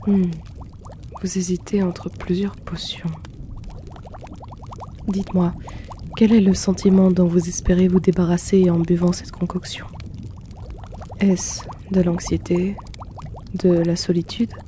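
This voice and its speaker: A mellow, soft-spoken herbalist acted by a man who keeps a potion shop. He speaks in a very even, unhurried tone, polite and gently teasing, as in an ASMR roleplay, with faint noise behind him. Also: sits high. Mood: gentle, attentive. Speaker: roleplay audio performer.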